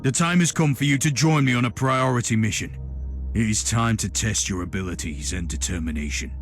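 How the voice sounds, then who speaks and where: A low male voice of stern command , acted by a man, belonging to a video game Inquisitor. He speaks deliberately and with authority, edged with dry irony. Music plays softly behind him. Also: lightly acted. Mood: resolute. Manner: authoritative, deliberate, dryly ironic. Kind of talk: scripted video game voice acting.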